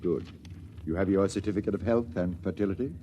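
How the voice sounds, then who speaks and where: A man's voice: low voice, fairly quick, dark tone, dull audio, close to an everyday voice. An actor playing a formal male priest who asks short ritual questions in 1950s radio drama style. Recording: faint hiss, 1950s radio transcription.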